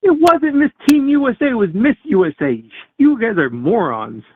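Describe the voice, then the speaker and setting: A man's voice: very high-pitched, big pitch swings, moderate pace, breathy edge, no echo. A man calling in to a live stream, combative and interrupting, heard over a phone-like call line. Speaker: caller. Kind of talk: argumentative call-in.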